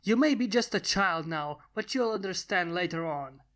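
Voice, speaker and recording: A woman's voice: lowish voice, expressive, normal speed, natural voice. Woman acting a stern, commanding male lord. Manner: blunt, commanding, solemn.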